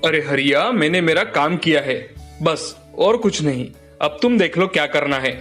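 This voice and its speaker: A man plays a humble village carpenter in a storybook cartoon. He is helpful and a little hesitant, with a moderately high voice. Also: moderate pace, fairly bright tone, faint music behind, natural voice. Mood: modest.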